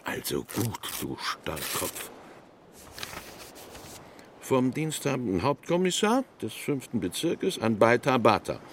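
A scornful, officious school inspector in a children's radio play. His delivery swings widely in pitch, pompous and bureaucratic, over faint background noise. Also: sing-song, slow pace, pauses often. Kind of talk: German children's radio drama acting.

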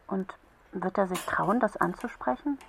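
A woman playing a kind, patient helpline counsellor for children in a radio play, gently explaining and encouraging. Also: medium pitch, moderate pace, vocal fry, slight background noise, natural voice. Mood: gentle, encouraging.

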